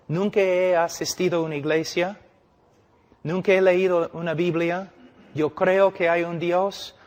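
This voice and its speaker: A man's voice: a little high, natural voice. A man voicing a big, frightened dying backwoods rancher in a preacher's story, with blunt short statements, in a reverberant hall.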